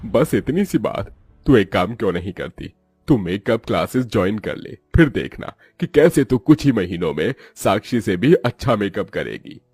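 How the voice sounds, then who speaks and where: A man voicing a kindly older father-in-law in a cartoon, husky, affectionate and encouraging. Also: fairly low pitch, big pitch swings, moderate pace, a little mellow, no echo, full character voice. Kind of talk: cartoon moral story voice acting.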